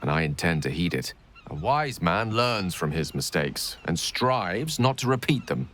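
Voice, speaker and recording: Eloquent, expressive wizard. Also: male voice, low-pitched, a little hiss or hum, heavily acted. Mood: solemn resolve. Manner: measured, florid, theatrical phrasing. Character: earnest, eloquent male wizard, warmly witty and theatrical. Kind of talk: video game cutscene acting.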